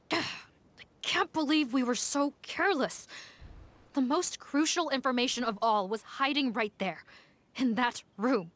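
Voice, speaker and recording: A woman's voice: sits high, slow pace, pauses often, a bit bright. A woman voicing a serious, earnest female officer in a game who sounds regretful.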